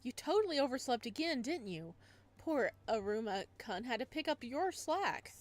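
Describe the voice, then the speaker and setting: A woman's voice: high voice, big pitch swings, moderate pace, voice pushed up. A woman voicing a bubbly, sassy young man who works part-time at a clinic, in an exaggerated visual novel reading. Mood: scolding. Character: bubbly, sassy young male clinic part-timer, scolding but friendly.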